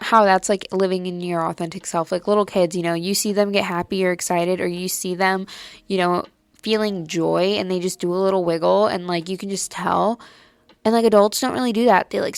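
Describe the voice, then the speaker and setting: A woman's voice: medium pitch, smooth, no room sound. Bubbly, rambling young woman. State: stuffy nose. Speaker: podcast host. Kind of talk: casual solo chat. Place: at home late at night.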